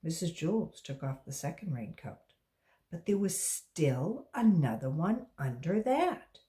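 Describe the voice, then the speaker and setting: A woman reading a story aloud to young children, expressive and emphatic, as a volunteer reader. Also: sits low, measured pace.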